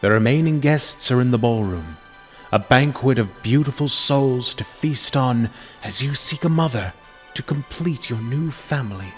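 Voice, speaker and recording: A man giving a theatrical, menacing dramatic reading of horror narration, deliberate and at times dropping into whispers. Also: mid-range voice, lively intonation. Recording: long reverb over music. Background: music.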